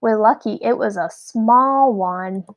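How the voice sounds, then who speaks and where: Storybook animal schoolmistress, read by a woman: kindly but brisk, raised pitch, instructing and encouraging. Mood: reassuring. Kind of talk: children's storybook read-aloud.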